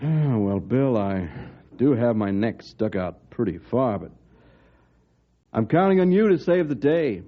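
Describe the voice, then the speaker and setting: A blustery, exasperated colonel, with a medium-pitched voice that swings widely. He speaks emphatically in old radio drama style, on a dull vintage recording. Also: animated, a little slow. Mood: resigned, trusting. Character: exasperated male colonel, a blustery senior official.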